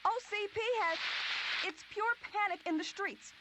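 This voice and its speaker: An actress playing a panicked female TV field reporter, talking in a frantic, rushed way. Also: extremely high pitch, very noisy.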